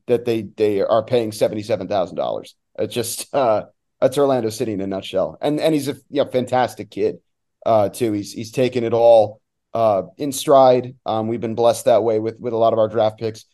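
A man's voice: medium pitch, warm tone. A man talking as a sports announcer guest, giving emphatic, opinionated analysis in a conversational way.

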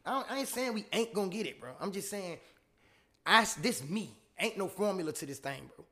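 A man's voice: animated, brisk, fairly bright tone. A young man. He is an independent rap artist talking casually in an interview, full of street slang, calling people "bro" and checking in with "you know what I'm saying". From Portsmouth, Virginia. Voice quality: high-pitched voice.

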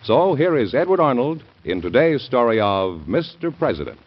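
A man's voice: medium pitch, big pitch swings, normal speed. A man announcing a radio drama with emphatic 1940s delivery. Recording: dull lo-fi old radio recording.

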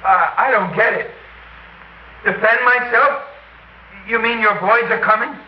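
A wounded, helpless American gunner in an old radio drama. His speech is blunt and keeps faltering, in a high male voice. The sound is muffled and roomy. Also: unhurried. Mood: confused, afraid. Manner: blunt, faltering, breaking off phrases. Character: wounded American helicopter gunner, helpless, bitter, scared young man. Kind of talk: classic radio drama acting. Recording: dull, roomy, noisy old radio recording.

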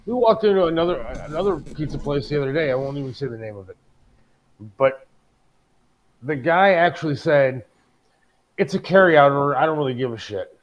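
A man's voice: on the high side, animated, a little fast, long pauses, dull audio. A middle-aged American man co-hosting a talk show, griping casually and emphatically, with some swearing. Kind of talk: casual, opinionated chat.

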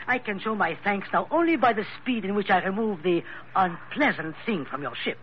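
An old, dull radio recording of a French colonial police officer. His voice is high and swings freely. He is effusive and formal, laying on flattery in a radio adventure. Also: normal speed, a little hiss or hum. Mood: flattering, formal. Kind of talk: 1940s-50s radio adventure acting.

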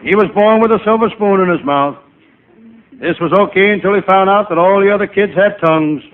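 A male comedian playing a wisecracking, hapless private eye, narrating in deadpan first person in old-time radio comedy style. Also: high-pitched, brisk, close to an everyday voice. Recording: noisy, tinny vintage radio recording.

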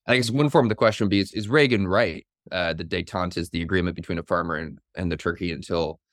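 A man's voice: sits low, no room sound, studio quality. A man hosting an interview, asking long questions while thinking aloud. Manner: quick, thinking aloud with repeats. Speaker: interview host.

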